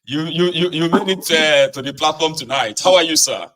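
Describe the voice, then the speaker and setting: Higher-pitched Nigerian man hosting a phone-in. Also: normal speed, pushed and bright. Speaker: host of a phone-in show. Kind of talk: casual political chat with callers.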